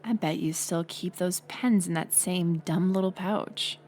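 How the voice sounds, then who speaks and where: A young woman playing a mocking college bully in an audio roleplay, sarcastic and teasing, with a hidden softer side. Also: fairly low pitch, fairly even intonation, measured pace. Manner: sarcastic, teasing, scoffing with sighs and breathy asides. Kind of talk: audio roleplay acting, intimate one-sided dialogue.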